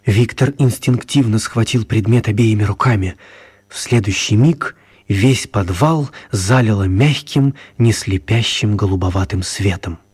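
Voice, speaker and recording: A man with a husky, mellow voice narrating a radio play in an expressive storytelling style. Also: on the low side, measured pace.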